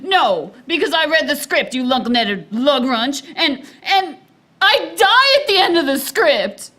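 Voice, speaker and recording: Woman acting an unhinged, very shrill scheming dame. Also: a little slow, heavily acted. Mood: desperate, defiant. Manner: theatrical, excitable. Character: unhinged scheming femme dame, melodramatic conjoined sister. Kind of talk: broad comedy radio serial acting. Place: theater stage, performed live.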